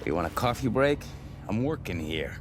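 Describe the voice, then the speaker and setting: A jaded American engineer in his middle years for a game cutscene. He is blunt and foul-mouthed, with a very lively, swinging intonation. Faint noise sits behind the voice. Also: lowish voice, normal speed. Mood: sardonic. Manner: blunt, profane, grumbling. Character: bitter, cynical middle-aged male tech engineer. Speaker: game character. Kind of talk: video game cutscene dialogue.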